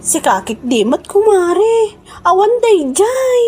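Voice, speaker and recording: An actress with an Ilocano accent playing an excitable, complaining village wife in a radio comedy drama. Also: female voice, extremely high pitch, takes it slowly, heavily acted. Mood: plaintive. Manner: exclamatory, dramatic.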